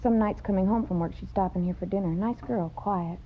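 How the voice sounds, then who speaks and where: Dull-sounding old radio waitress played by an actress. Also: female voice, medium pitch, fairly quick, slight background noise, lightly acted. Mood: frank, chatty. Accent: American. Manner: casual, matter-of-fact. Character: female coffee shop waitress, chatty, frank, helpful. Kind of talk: 1950s radio crime drama acting.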